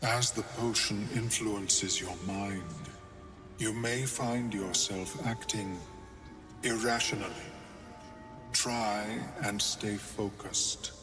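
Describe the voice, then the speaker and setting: A calm, detached mind flayer scholar from a fantasy video game. The voice is low and unhurried, with precise, formal phrasing and polite reserve. It rings with long reverb over music. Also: male voice. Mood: calm, courteous. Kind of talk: video game character acting.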